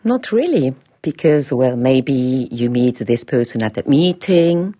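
A woman's voice: very deep voice, animated, unhurried, dark tone. A Swiss woman with a Swiss accent, answering questions in a radio interview, thoughtful and hesitant with many fillers. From Switzerland. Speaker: interviewee, head of a language department.

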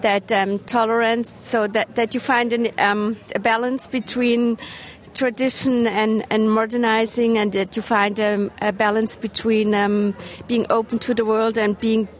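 Hesitant woman from Germany, slightly high. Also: flat delivery, measured pace, slight background noise. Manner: hesitant, many ums. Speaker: member of the public. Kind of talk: street interview. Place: outdoors at a gathering.

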